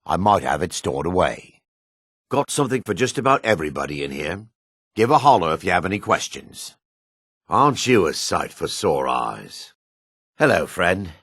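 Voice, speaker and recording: Low, husky dark elf. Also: male voice, sing-song, moderate pace, dry recording, clean studio sound, heavily acted. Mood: welcoming. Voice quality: raspy, gravelly. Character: gruff, guarded male dark elf townsman of fantasy world. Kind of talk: fantasy video game character lines.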